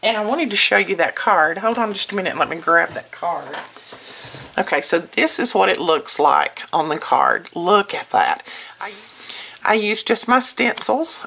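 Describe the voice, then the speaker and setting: A woman's voice: medium pitch, gravelly, fairly bright tone. A woman talking informally to her customers as a store owner and video host, in a conversational, plain-spoken way with folksy phrasing.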